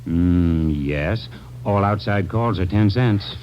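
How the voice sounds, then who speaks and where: An actor playing a grudging, money-minded hotel clerk in an old American radio crime drama, dry and peevish in manner. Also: male voice, low-pitched, slow. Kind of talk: 1940s-50s radio crime drama acting. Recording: dull, faintly noisy old radio recording.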